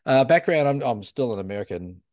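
A man's voice: mid-range voice, expressive, brisk, a little mellow. A man with an Australian accent, co-hosting a casual photography podcast, easygoing and joking. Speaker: podcast co-host. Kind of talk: casual chat.

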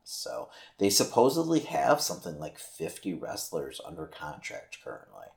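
A man's voice: deep voice, wildly swooping pitch, normal speed, vocal fry. A man co-hosting a casual podcast, talking loosely with lots of fillers, the odd swear and easy laughs. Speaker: podcast co-host.

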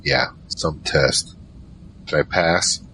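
Man as very deep, wry drama narrator. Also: big pitch swings, slow, creaky, sharp tone, slight background noise, exaggerated voice. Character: Michael, weary wry male narrator searching for his family. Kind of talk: first-person audio drama narration and dialogue.

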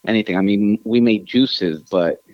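A low-voiced man rambles through his story in a podcast interview, full of "um" and "you know", his voice leaping higher when he gets excited. The sound is dry and a little dull, as if from a modest mic. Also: normal speed, dry recording. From New York City, Queens, Ecuadorian family. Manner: rambling, many fillers and restarts, pitch jumps up when animated. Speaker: restaurant owner, guest. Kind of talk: casual interview talk.

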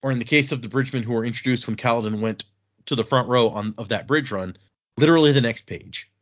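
A man hosting a podcast, chatting casually and reading listener emails aloud in a relaxed, halting way. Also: medium pitch, highs cut off. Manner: relaxed, halting, with restarts and fillers. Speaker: podcast host.

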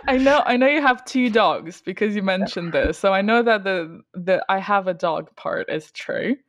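A woman's voice: medium pitch, expressive, normal speed, no echo. A woman hosting an interview podcast. She is friendly, asks follow-up questions and laughs often. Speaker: podcast host.

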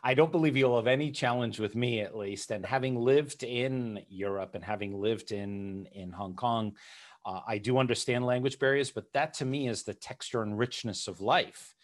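A man's voice: mid-range voice, normal speed. A man hosting an interview, eager and encouraging toward his guest. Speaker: interview host. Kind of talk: podcast interview.

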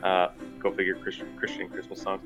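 A man's voice: sits low, completely flat delivery, brisk, music in the background. A man co-hosting a casual podcast, rambling and profane, laughing often. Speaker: podcast co-host. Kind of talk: casual chat.

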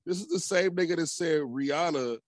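A man's voice: on the high side, fairly quick, a crowd in the background. A man co-hosting a casual podcast chat, teasing and laughing often.

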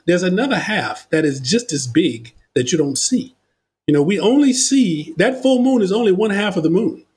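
Brief: American male guest, lively anecdotal style, slightly high voice. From United States. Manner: animated, uses analogies, repeats words when starting thoughts. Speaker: podcast guest. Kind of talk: conversational storytelling.

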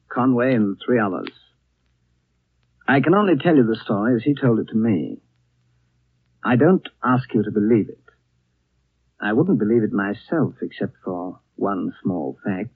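A man playing a sober gentleman recounting a strange tale in measured, understated 1930s radio drama style. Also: lowish voice, breathy edge, no room sound, heavily acted. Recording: muffled vintage 1930s radio recording.

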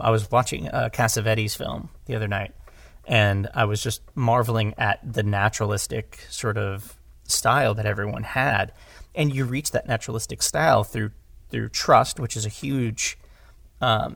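Man thinking aloud, creaky voice. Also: lowish voice, a bit bright, no echo. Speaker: audio drama director, interview guest. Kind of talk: casual podcast discussion.

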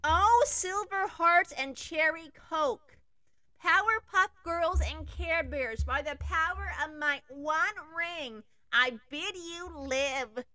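A woman's voice: very high-pitched, slow, sharp tone, no echo, pitched above the performer's own voice, heavily acted. A woman doing a ditzy teenage spellcaster. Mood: overblown. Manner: giggly, overblown incantations. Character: ditzy teenage girl casting silly spells.

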